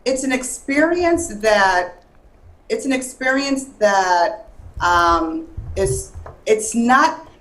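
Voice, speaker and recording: A woman's voice: on the high side, expressive, slow pace, fairly bright tone, poor audio. A woman from Miami answering as an interview guest in casual conversation, earnest and emphatic, often repeating and listing for emphasis. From Miami, Florida.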